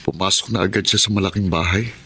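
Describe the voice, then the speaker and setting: An actor playing a humble, dutiful eldest brother who drives a tricycle, speaking earnestly and haltingly in a Tagalog radio drama. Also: male voice, sits low, fairly even intonation, sharp tone, heavily acted. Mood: puzzled. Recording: clearly noisy drama track with ambience.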